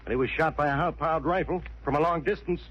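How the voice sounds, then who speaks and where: An actor playing a blunt, suspicious police inspector with an American accent in a 1940s radio crime drama, accusing and sarcastic. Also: male voice, on the high side, quick pace, husky. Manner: hard-boiled, accusing, sarcastic. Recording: muffled, hissy old radio recording.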